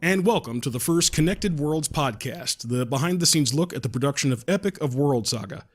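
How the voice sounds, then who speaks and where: A man's voice: a little high, animated, fairly quick, faint music behind. Guy hosting a podcast, chatty and jokey, kinda stumbles and restarts, pokes fun at himself. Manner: jokey, self-deprecating, hesitant with false starts. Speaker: podcast host and audio drama creator. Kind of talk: casual behind-the-scenes podcast chat.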